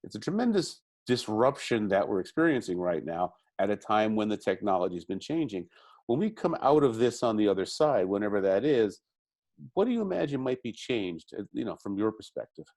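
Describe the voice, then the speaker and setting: A man's voice: fairly low pitch, big pitch swings, fairly quick, a little mellow. A man asking questions as the interviewer in a conversational video-call interview.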